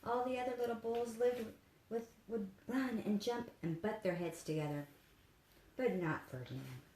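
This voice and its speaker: A woman reading a children's story aloud in an expressive, simple storytelling manner, as if to young listeners. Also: on the high side, normal speed. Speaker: therapy dog handler and volunteer reader. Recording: echoey room with faint background noise.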